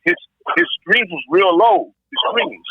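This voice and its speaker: High, animated, gravelly man venting casually. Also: measured pace, dry recording. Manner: animated, blunt and profane. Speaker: podcast panelist. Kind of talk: casual chat about hip-hop. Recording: dull lo-fi call audio.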